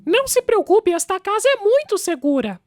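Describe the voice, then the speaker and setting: A woman voicing a carefree young boy piglet in a children's cartoon, squeaky and childlike. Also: very animated, normal speed, darkish tone. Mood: cocky. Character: carefree young middle pig brother, small and squeaky. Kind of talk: children's cartoon character acting. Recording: music under the voice.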